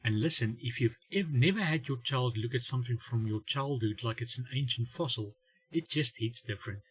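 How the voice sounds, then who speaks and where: A middle-aged man telling a humorous story in a wry, self-mocking way, with dramatic pauses and bits of acted-out dialogue. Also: mid-range voice, husky. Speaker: host of a family humour channel. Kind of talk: comedic storytelling monologue.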